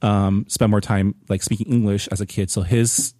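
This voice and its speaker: A man co-hosting a podcast, talking over a film in a relaxed, hesitant way with many pauses. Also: on the low side, no echo, clean studio sound. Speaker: podcast co-host. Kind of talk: casual film discussion.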